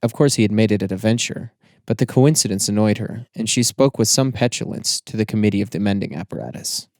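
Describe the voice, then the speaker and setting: A man narrates a literary audiobook in a measured, formal way. His voice is fairly low with plenty of gravelly creak, and the sound is dry and close. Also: darkish tone, no room sound.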